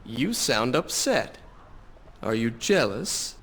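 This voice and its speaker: An actor playing a proud, earnest young warrior prince with a dry wit in a dramatic video game. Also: male voice, mid-range voice, sing-song, slow pace, crowd noise. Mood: earnest, concerned.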